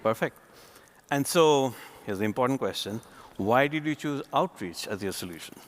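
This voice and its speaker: A man's voice: mid-range voice, animated, normal speed. A man hosting an on-stage conference interview, with upbeat, enthusiastic prompts that welcome and question his guest.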